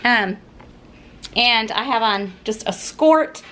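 A middle-aged woman vlogging casually to camera in a vacation condo, chatty and relaxed, laughing softly between sentences. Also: fairly high pitch, slow. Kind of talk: casual vlog talk to camera. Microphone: phone held at arm's length. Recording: noisy phone audio.

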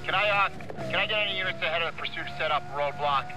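A man's voice: on the high side, rapid-fire, background noise. An actor playing an aggressive, impatient male pursuit cop in a video game, giving urgent commands over police radio. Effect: police radio filter.

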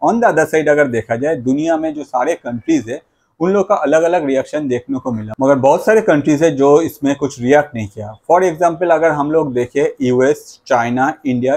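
A man's voice: mid-range voice, darkish tone. A man, explaining news to camera in an explanatory way, mixing in English phrases. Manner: explanatory, repetitive catchphrases with English phrases mixed in. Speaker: video creator, host. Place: small plain indoor room. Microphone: lapel mic.

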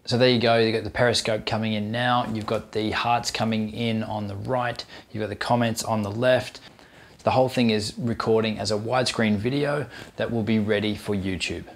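A man in his thirties narrating a scripted how-to tutorial, giving clear step-by-step instructions as the host. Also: fairly low pitch, steady tone, normal speed.

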